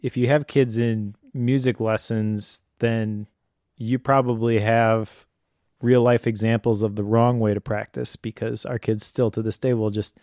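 A man's voice: on the low side, little pitch movement, unhurried, darkish tone, no room sound, clean studio sound. A middle-aged man speaking casually and thoughtfully, explaining advice as a parent. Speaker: homeschooling parent giving advice.

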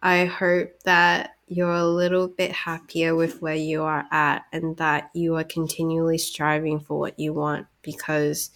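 Woman podcast co-host, Cantonese native speaker, lower register, chatty and reflective with ready laughter. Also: little pitch movement, measured pace, hardly any pauses, fairly bright tone. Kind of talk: casual podcast chat.

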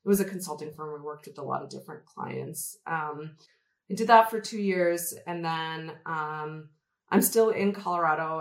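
A woman with a slightly low voice speaks conversationally as an interview guest. She is thoughtful and hesitant and often pauses on fillers. Her voice carries an echo, as if recorded in a bare room. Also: vocal fry. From Canada. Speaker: interview guest, development consultant. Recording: roomy echoey room.

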